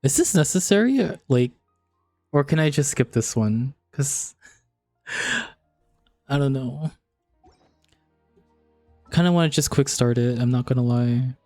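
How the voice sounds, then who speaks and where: Mellow-voiced man casually streaming, music behind. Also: medium pitch, expressive, takes it slowly, long pauses, no room sound. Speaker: livestreamer. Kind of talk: casual gaming stream chat. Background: background music.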